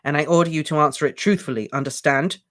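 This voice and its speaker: A man's voice: on the high side, fast talker, fairly bright tone, lightly acted. A man voicing a fierce teenage boy wizard who fires off urgent, commanding questions. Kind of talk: audiobook character voice.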